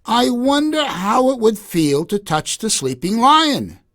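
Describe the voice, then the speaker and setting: A man's voice: very high voice, big pitch swings, takes it slowly, fairly bright tone, pitched above the performer's own voice, heavily acted. A man voicing a small, curious and brave female mouse in a storybook, with an earnest, pleading manner. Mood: curious wonder.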